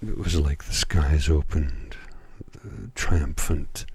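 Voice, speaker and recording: A middle-aged man speaks in a mellow, very low voice, heavy with breath and a slight hoarseness. He talks slowly and reflectively, with plenty of fillers. His soft-spoken ASMR delivery sits close on near-field microphones. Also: dry recording. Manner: slow, reflective, rambling with fillers. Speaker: ASMR creator. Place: home at night.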